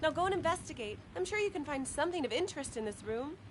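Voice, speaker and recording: Game role: female actor as loyal, chiding assistant, brisk manner, high voice, noisy backdrop. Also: lively intonation. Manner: prim, chiding, encouraging. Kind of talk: video game character acting.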